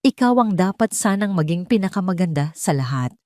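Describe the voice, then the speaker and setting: A woman voicing a calm, deranged male kidnapper in a storybook, sweetly menacing and deliberate, speaking with obsessive conviction. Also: fairly low pitch, dry recording, studio quality, natural voice. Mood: dark, menacing. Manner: slow, deliberate, full of conviction.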